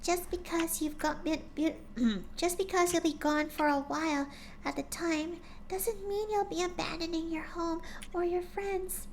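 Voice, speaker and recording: A woman reads as a timid young pegasus mare, gentle and hushed, voice sitting high and light. She talks softly and kindly, with faint room noise behind her. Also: fairly even intonation, unhurried. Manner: soft, quiet and gentle. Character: gentle shy young female pegasus pony.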